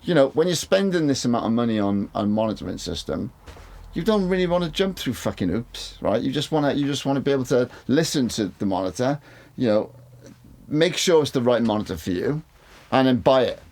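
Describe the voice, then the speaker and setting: A man's voice: medium pitch, lively intonation, a little fast, darkish tone. A man with a big voice, talking casually to camera about studio gear, rambling, repeating words and swearing now and then. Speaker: mixing engineer reviewing studio monitors. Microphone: studio condenser mic.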